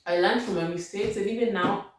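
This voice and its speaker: A woman's voice: medium pitch, steady tone, a little fast, recorded from a distance, slight background noise. A Kenyan woman vlogging language-learning tips at home, repeating and rephrasing as she patiently explains. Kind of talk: casual advice talk. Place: home room. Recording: very echoey room, voice off-mic.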